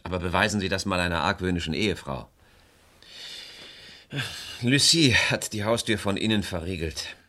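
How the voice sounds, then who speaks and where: A low, deep male voice in a radio crime play. He is a beleaguered small-town property dealer and estranged husband, confiding his troubles in halting, sighing phrases. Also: sharp tone. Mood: troubled. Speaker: radio drama actor.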